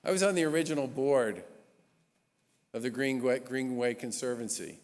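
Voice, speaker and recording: A man in his sixties, a state governor, giving an earnest, emphatic introduction speech with measured pauses at a gala. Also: mid-range voice, darkish tone. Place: large historic church. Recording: long reverb of a large church hall.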